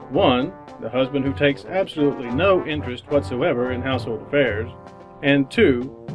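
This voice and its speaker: A man's voice: medium pitch, lightly acted, low-quality recording. A man playing a polished, formal old-time radio announcer, delivering scripted narration with showmanship.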